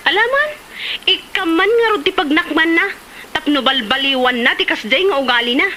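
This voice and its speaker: A woman's voice: high-pitched, expressive, normal speed, pushed and bright, low-quality recording. A woman playing a talkative, gossipy village neighbour in a comedy drama, speaking with an Ilocano accent. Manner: exclamatory, gossipy. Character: talkative village woman siding with an offended elder. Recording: slight background noise.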